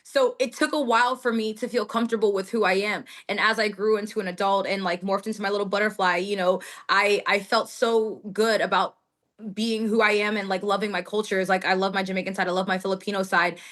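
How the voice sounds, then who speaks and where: A woman's voice: medium pitch, fairly even intonation, fairly quick, fairly bright tone. Chatty, laughing young woman. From United States. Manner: chatty, laughing, many fillers like "like". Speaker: guest wrestler. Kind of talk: casual interview chat.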